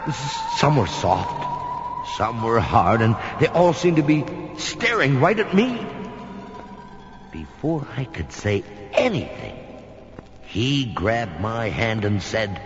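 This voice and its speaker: An actor playing a sinister old storyteller telling a spooky Halloween tale in a theatrical, dramatic way. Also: male voice, mid-range voice, sing-song. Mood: frightened, suspenseful. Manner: slow, dramatic, whispers and outbursts. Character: sinister old storyteller welcoming listeners into his home. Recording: dull lo-fi recording. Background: music.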